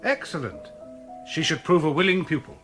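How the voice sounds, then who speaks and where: An actor playing a confident, polite young gentleman music tutor in a period audio drama, eager and self-assured. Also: a little high, sing-song, moderate pace. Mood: brisk.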